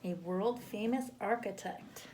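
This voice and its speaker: A woman reading a picture book aloud to children, expressively and with deliberate phrasing, as a storyteller. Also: medium pitch, a little mellow, a little hiss or hum, poor audio.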